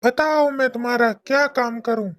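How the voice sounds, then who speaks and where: A woman's voice: fairly high pitch, moderate pace, no echo, pitched above the performer's own voice, natural voice. A woman voicing a menacing, impatient male ghost from a lamp in a cartoon story, demanding and threatening. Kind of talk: cartoon story character acting.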